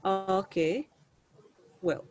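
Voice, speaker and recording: A woman's voice: medium pitch, very slow, a little mellow. A woman teaching an English class over a video call, patiently prompting her students.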